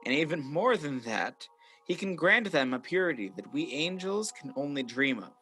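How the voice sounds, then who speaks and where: An actor playing a proud, scheming angel of light in a radio play, speaking in a smooth, grandiose, theatrical way. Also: male voice, on the high side, very animated, normal speed, bright, forceful tone, exaggerated voice. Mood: grave, intense. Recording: radio play recorded over video call, music behind.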